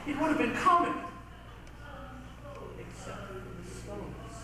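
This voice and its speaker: Man to play bitter elderly composer: high-pitched, halting, impassioned, confessional. Echoey, distant stage recording. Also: animated. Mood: agitated awe. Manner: halting, impassioned, theatrical delivery. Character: anguished elderly male composer confessing his bitter envy. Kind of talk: dramatic reader's theatre stage recitation.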